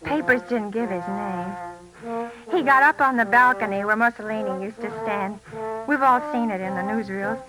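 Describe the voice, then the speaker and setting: An actress playing an earnest pilot's wife in a 1940s radio drama, narrating a letter intimately and reflectively. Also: female voice, mid-range voice, normal speed, natural voice, rough recording. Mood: wistful, reflective. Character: earnest wartime pilot's wife writing to her husband. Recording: old 1940s radio recording with music.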